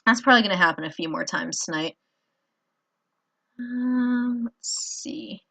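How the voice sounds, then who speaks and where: A woman's voice: a little high, lively intonation, measured pace, pushed and bright. A tired woman hosting a casual drawing tutorial, rambling with many fillers and apologizing as she works. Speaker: art tutorial host.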